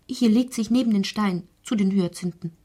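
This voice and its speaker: Woman, audio drama narrator, husky breathy voice, near-whisper touches, deliberate poetic recitation, very dry sound. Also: mid-range voice, warm tone, no echo. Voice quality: breathy, often dropping toward a whisper.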